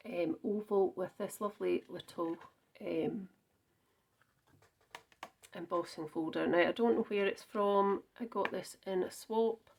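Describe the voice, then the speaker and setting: A woman's voice: mid-range voice, vocal fry, darkish tone, slight background noise. A Scottish woman chatting through a card-making demonstration, thinking aloud with frequent ums and small self-corrections. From Scotland. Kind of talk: casual crafting demonstration.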